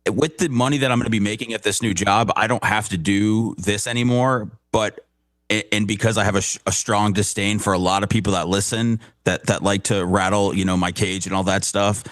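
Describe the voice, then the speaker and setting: Lower-pitched man in casual radio talk. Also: steady tone, brisk, a bit bright, no room sound, clean studio sound. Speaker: radio show cast member.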